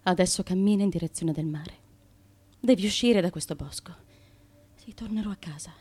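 A woman's voice: on the low side, moderate pace, dark tone, slightly rough. Plaintive, wounded noblewoman in radio drama. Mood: anxious. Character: wronged, pregnant noble wife, reserved, wounded and anxious. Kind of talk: theatrical radio drama monologue.

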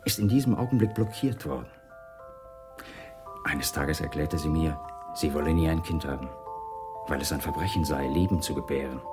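A big, devoted businessman in his forties in a radio crime drama. His voice is very deep, he explains things earnestly, and music plays clearly behind him. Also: normal speed, long pauses. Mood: earnest, reflective. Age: 40s.

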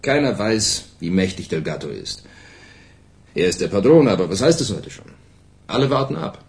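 An actor playing a seasoned, skeptical FBI agent in a radio play, casual and unimpressed. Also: male voice, low-pitched, very animated, normal speed.

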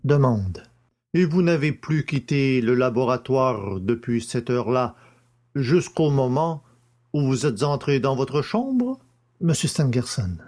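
Man playing a slow, lilting, formal examining magistrate. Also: mid-range voice, lively intonation, a little mellow. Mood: methodical, probing. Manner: formal, deliberate, announces each question. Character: courteous, methodical male examining magistrate questioning witnesses. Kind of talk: audiobook character acting.

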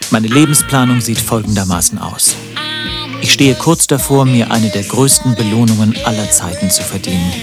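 An actor playing a wry, lovelorn antihero who tells his own story in a radio drama, deadpan and literary. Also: lowish voice, moderate pace, music in the background, lightly acted. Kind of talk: radio drama narration and dialogue.

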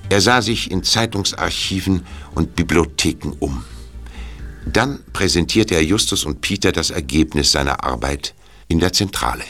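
A man's voice: rumbling low, bright, forceful tone. A man reading scripted narration for an audio drama.

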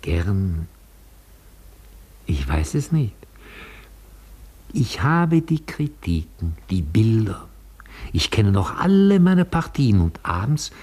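An old retired opera tenor in a radio drama, gentle and lost in memory. His deep, husky voice proceeds very slowly, with courteous, halting phrases. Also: big pitch swings, exaggerated voice. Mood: dreamy. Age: older. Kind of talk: German radio play acting.

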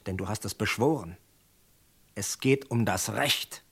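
A man's voice: fairly low pitch, measured pace, creaky, a bit bright, husky, heavily acted. A man acting in a German radio western as a stubborn, guilt-laden gunman, speaking in a terse, stern, unyielding way. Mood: stern, resolute. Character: stubborn, guilt-laden gunman confessing to his sheriff friend.

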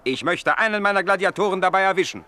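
A cynical boss of Roman gladiators in a radio play. His voice is bright, hard and a little high, and he talks down to people with brusque scorn. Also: lively intonation, fast talker, a little hiss or hum.

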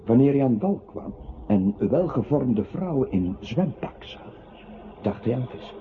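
A man's voice: mid-range voice, very animated, unhurried, a little hiss or hum. A male actor playing a mocking disembodied voice that haunts a man's mind, narrating theatrically in a radio play with incantatory repetition. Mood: brooding narration. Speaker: radio drama narrator-character. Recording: thin phone-like old radio recording.

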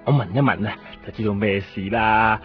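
A man's voice: lowish voice, moderate pace, lightly acted. A man voicing a needy, whiny pet dog in a radio drama, pleading like a child. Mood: knowing. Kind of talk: Cantonese radio drama acting. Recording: muffled lo-fi radio recording, music behind.

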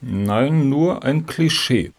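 A man's voice: medium pitch, animated, drawn-out words, vocal fry, a little hiss or hum, heavily acted. A man playing a laconic, dry-humoured urban activist in a satirical radio play.

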